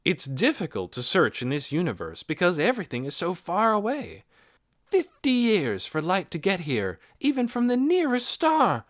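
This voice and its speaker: A man's voice: high-pitched, wildly swooping pitch, moderate pace, a bit bright, no echo, studio quality, pitched above the performer's own voice, heavily acted. A man voicing an eccentric, secretive male astronomer in an audiobook, intense and emphatic, with clipped musing phrases. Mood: intense, scornful. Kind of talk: audiobook character voice.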